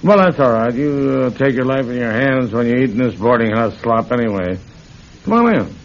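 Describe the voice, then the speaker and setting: A man's voice: mid-range voice, lively intonation, normal speed, close to an everyday voice. An actor playing an aging, retired thief down on his luck, wary and wisecracking in a streetwise, grumbling way, in a radio suspense drama. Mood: wary, gruff. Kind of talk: old-time radio suspense acting. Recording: muffled, noisy old radio recording.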